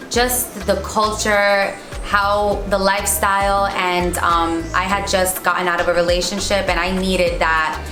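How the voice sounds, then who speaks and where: Young woman chatting in a roomy echo. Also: fairly low pitch. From United States, New York and New Jersey. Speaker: interview guest, business owner. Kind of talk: casual chat, telling personal experience. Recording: echoey room with music behind.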